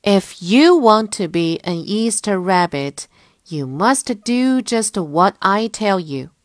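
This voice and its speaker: A woman's voice: mid-range voice, lively intonation, slow pace, dry recording, clean studio sound. A woman voicing a helpful, firm mother bird in a children's storybook, giving instructions with emphasis.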